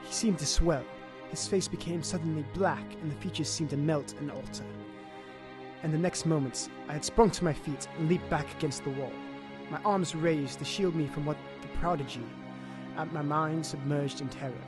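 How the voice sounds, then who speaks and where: A grave Victorian gentleman doctor voiced by a male actor tells of horror in an audio drama. His voice sits a little high, formal and dramatic, shaken by what he recounts. Soft music plays behind him. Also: lightly acted. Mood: horrified. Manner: formal, dramatic, measured storytelling.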